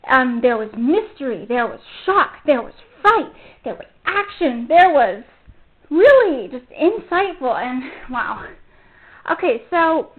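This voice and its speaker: A woman hosting a casual solo fan podcast, talking in a gushing, enthusiastic fangirl manner. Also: high-pitched. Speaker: podcast host. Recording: muffled phone-like narrow-band sound.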